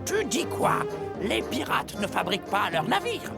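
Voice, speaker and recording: A woman's voice: mid-range voice, huge pitch swings, measured pace, creaky, heavily acted. A woman voicing a small, mischievous villain critter who bosses a pirate crew in a cartoon dub. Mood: scheming. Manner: bossy, giving crew orders. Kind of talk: French cartoon dubbing. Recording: music under the voice.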